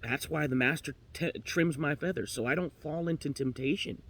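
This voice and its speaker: A man's voice: fairly high pitch, normal speed, a bit bright. A man voicing a timid, sheltered female pet canary in a storybook tale, stammering, flustered and devout. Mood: earnest, defensive.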